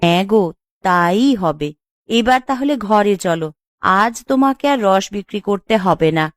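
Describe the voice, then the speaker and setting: A woman's voice: mid-range voice, lively intonation, unhurried, no room sound, lightly acted. A woman playing a caring village wife in a cartoon, speaking in an earnest, emotive way. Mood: reassuring. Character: caring, expressive village woman in a family drama. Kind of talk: cartoon voice acting.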